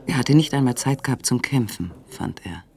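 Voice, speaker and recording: A man's voice: mid-range voice, lively intonation, a little fast, creaky. A man reading scripted narration for a radio play, on a recording with faint noise.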